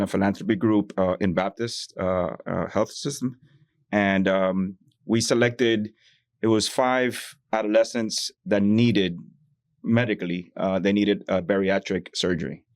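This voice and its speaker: A man's voice: on the low side, no echo, clean studio sound. A man from Miami, a bank employee, telling stories in a podcast interview, full of "you know" fillers.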